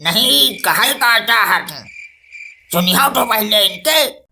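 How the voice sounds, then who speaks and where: A woman's voice: fairly high pitch, expressive, creaky, bright, forceful tone, slight background noise, exaggerated voice. A woman playing a stubborn, scornful old male village patriarch in a comic cartoon, with an Awadhi accent and indignant, sarcastic scolding. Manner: indignant, sarcastic scolding with drawn-out rhetorical questions.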